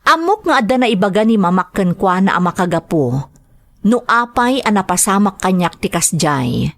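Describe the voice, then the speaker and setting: A woman's voice: mid-range voice, very animated, moderate pace, dry recording, clean studio sound. A woman acting an earnest, persuasive mother of a grown son in an Ilocano radio drama. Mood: sorrowful recounting.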